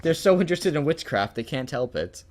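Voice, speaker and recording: Young American man, very lively pitch, incredulous, sarcastic, casual co-host chat. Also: a little fast, slight background noise. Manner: incredulous, sarcastic, swears freely. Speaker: co-host of a comedic read-aloud show. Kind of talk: casual chat, mocking commentary on a story.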